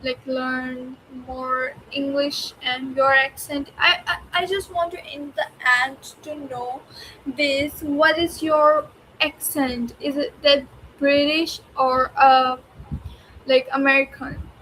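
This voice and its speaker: A woman's voice: high voice, flat delivery, slow, pushed and bright, a little hiss or hum, low-quality recording. A woman from Pakistan with a Pakistani accent, a native Urdu speaker chatting casually in hesitant English full of fillers. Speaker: learner chatting with an AI assistant. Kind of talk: casual chat.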